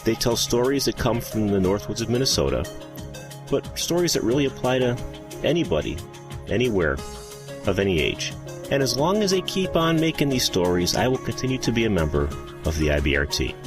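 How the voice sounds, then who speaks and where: An American man giving a promotional testimonial in measured short phrases. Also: on the low side, big pitch swings. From Maryland, United States. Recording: music under the voice. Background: music.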